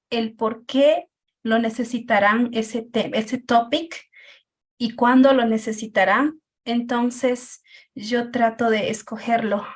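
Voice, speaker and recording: Unhurried Quichua-native woman, hesitant. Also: a little high. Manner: hesitant, many pauses and filler sounds. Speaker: teacher. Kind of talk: personal account in a group discussion.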